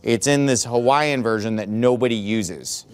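A middle-aged man preaching a sermon in a church, emphatic and sarcastic, with sudden shouted words. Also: medium pitch, normal speed, clear, resonant tone. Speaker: pastor.

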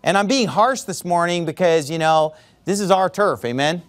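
A middle-aged man preaching a sermon in a church, emphatic and sarcastic, with sudden shouted words. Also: sits high, moderate pace. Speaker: pastor.

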